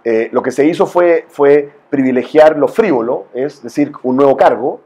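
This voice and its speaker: Chilean male forum guest, mid-range mellow voice, informal storytelling argument, emphatic and full of conversational check-ins. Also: mid-range voice, normal speed, slightly rough. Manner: colloquial, emphatic, frequent tag questions. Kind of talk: informal spoken argument with anecdotes.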